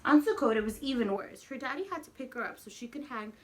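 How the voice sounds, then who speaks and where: High-voiced woman reading a storybook aloud. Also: quick pace, a little hiss or hum. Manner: expressive, addresses small children directly. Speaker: teacher reading a picture book to young children. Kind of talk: animated storybook read-aloud with questions to the children.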